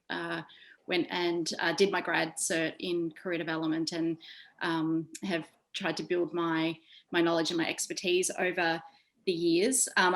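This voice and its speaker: A woman speaking unscripted on a discussion panel, often pausing to find her words. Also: fairly low pitch, fairly bright tone. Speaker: panelist, career development practitioner.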